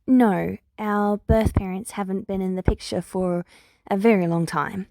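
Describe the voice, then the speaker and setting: A woman's voice: mid-range voice, steady tone, measured pace, gravelly, a little mellow, dry recording. A woman voicing an earnest, wounded young heroine from the future in a story, speaking firmly and a little wryly. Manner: prompt, firm, wry.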